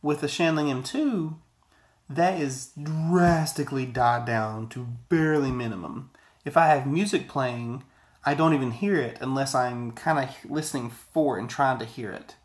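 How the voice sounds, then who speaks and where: An American man in his thirties with a mid-pitched voice talks through an audio gear review. He is conversational and loose, doubling back on words to stress a point. He sounds like he is at a desk in a small office, speaking to a camera. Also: normal speed. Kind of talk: casual video product review. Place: small office room indoors.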